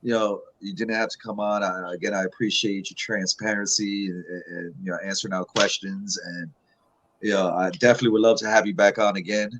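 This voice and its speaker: Low-pitched, agreeable male interview host. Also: steady tone, brisk, a bit bright, low-quality recording. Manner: agreeable, backchannels a lot. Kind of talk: casual interview.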